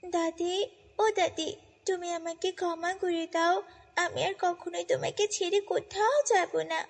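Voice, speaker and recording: A woman's voice: extremely high pitch, level tone, a little slow, long reverb tail. A woman voicing a headstrong, emotional orphan girl in a children's fairy-tale cartoon, earnest and exclaiming. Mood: remorseful.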